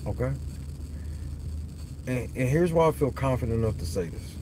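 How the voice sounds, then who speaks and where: A man in his thirties talks into a phone propped on the dashboard of his parked car at night. His voice sits at an ordinary pitch, and his talk rambles loose and profane. A faint hum of car and street noise stays underneath. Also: moderate pace, mellow, rough recording. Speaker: livestream host. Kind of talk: casual livestream chat.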